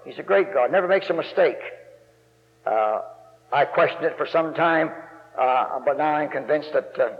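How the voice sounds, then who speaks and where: Gravelly male preacher, folksy and rambling, pushing to loud emphasis; muffled church recording with long echo. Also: normal speed. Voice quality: gravelly bass voice. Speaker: guest preacher. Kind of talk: sermon. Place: church sanctuary.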